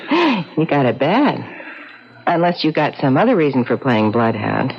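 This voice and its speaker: Brash tough dame, old radio. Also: female voice, low-pitched, big pitch swings, moderate pace, vocal fry, slight background noise, heavily acted. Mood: amused, suspicious. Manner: brash, slangy, pushy. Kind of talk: 1970s radio drama acting. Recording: muffled 1970s radio broadcast recording.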